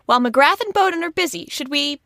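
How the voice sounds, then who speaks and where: Very high-voiced, earnest agent. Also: female voice, lively intonation, brisk, pushed and bright, no echo, heavily acted. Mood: earnest, amazed. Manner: earnest, exclaiming, questioning. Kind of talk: comic audio drama acting.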